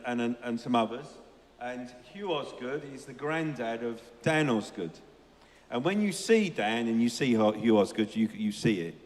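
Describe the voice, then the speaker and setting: A man leading a church meeting, chatty and loud, rambling as he introduces a guest. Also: medium pitch. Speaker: church leader. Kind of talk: casual church interview hosting. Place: church hall. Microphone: handheld microphone over PA. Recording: hall reverb from church PA.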